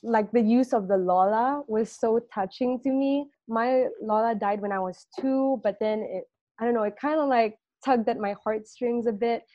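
A young woman from Singapore talks easily as an interview guest, leaning on "like" and letting her sentences fade out softly. Her audio sounds a bit dull and muffled, close and dry with no room around it. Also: fairly high pitch, no room sound. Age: young adult. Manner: chatty, heavy use of "like", trails off softly at phrase ends. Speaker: guest, student intern journalist. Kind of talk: casual interview chat.

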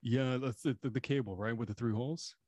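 Host, french-native guy, mid-pitch, dry close dynamic mic, playful and explanatory. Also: fairly quick, darkish tone, no room sound. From Canada. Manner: joking, explains gear at length. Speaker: podcast host. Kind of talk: casual interview chat. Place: remote video call. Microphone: dynamic podcast microphone.

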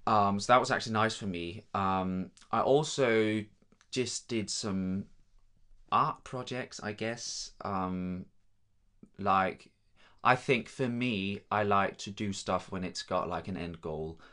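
Brief: young Danish man, deep voice, relaxed chatty interviewee with frequent ums. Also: takes it slowly. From Denmark. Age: young adult. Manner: easygoing, many ums, chatty. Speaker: drama student guest. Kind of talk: casual chat.

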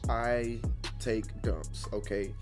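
A podcast host, slightly hoarse, talking in an animated, joking way. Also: male voice, lowish voice, slow pace, over music. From Houston, Texas. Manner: animated, laughing storyteller. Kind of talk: casual chat.

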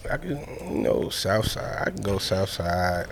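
Teasing co-host. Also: male voice, deep voice, unhurried, creaky, background noise. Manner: teasing, animated storyteller. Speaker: podcast co-host. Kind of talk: casual chat.

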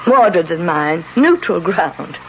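An actress playing a gentle, assured lady of an enchanted garden in a 1970s radio drama. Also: lowish voice, animated, slow. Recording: slightly noisy, dull 1970s radio recording.

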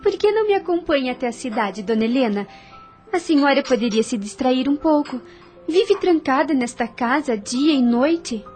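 A kind, reserved young widow in a radio soap. Her voice is very high, her speech polite and proper, with music faintly behind her. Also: lively intonation, moderate pace, darkish tone. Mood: caring. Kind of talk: radio soap opera acting.

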